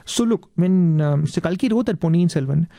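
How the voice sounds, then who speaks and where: A man with a Tamil Indian accent, a native Tamil speaker, giving earnest, polite and measured answers as an interview guest. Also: fairly high pitch, vocal fry, mellow, no room sound.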